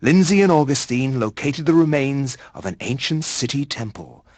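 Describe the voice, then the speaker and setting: An actor playing a learned chronicler, narrating a horror game in a grave, measured and literary manner. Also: male voice, mid-range voice, lively intonation, a little mellow, no echo, lightly acted.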